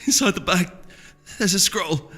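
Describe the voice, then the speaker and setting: A man voicing a mortally wounded female unicorn messenger in a storybook reading, halting and urgent with a strained, breathy, choked voice. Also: high voice, animated, sharp tone, in a large hall, faint music behind, voice pushed up, full character voice. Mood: pained, urgent. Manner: halting, gasping, pleading.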